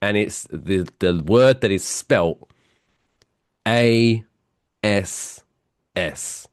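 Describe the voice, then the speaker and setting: A man with a British accent, softened by years in America, telling stories as a podcast co-host while stuffy with a cold. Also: fairly low pitch, drawn-out words, clear, resonant tone, no echo, studio quality. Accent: British English softened by years in the US. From England. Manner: chatty, self-mocking storyteller. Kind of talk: casual podcast chat.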